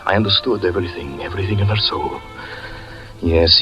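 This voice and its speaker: A haunted, whispering cabaret performer. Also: male voice, deep voice, dull audio. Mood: brooding. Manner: intense, dramatic, sinks to hushed whispers. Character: haunted devoted male cabaret performer recounting lost love. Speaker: narrator. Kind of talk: 1940s radio acting.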